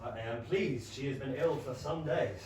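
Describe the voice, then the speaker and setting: An actor playing a proud, aloof Regency gentleman with a formal, dry, clipped manner. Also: mid-range voice, normal speed, close to an everyday voice. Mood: wounded, reserved. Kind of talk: period comedy stage acting. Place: small London theatre. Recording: very echoey theatre, far mic, faint noise.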